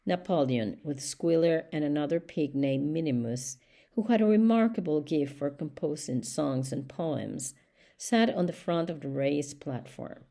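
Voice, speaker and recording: Woman narrating an audiobook, deep for a lady, mellow tone, measured delivery. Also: gravelly. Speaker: audiobook reader. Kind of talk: scripted storybook narration.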